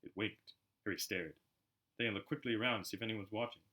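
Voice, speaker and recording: Bright-voiced man narrating a storybook. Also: on the low side, fast. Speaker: amateur audiobook reader.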